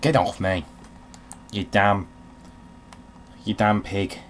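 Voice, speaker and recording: A man's voice: fairly low pitch, takes it slowly, a little hiss or hum. A man giving casual, sweary commentary while playing a video game, in a wry, grumbling manner.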